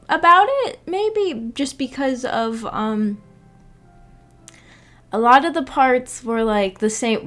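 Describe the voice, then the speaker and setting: A woman's voice: medium pitch, a bit bright. A woman streamer chatting casually with her viewers, hesitant and giggly as she searches for words. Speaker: streamer hosting a visual novel book club. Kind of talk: casual livestream chat, reacting to viewer comments.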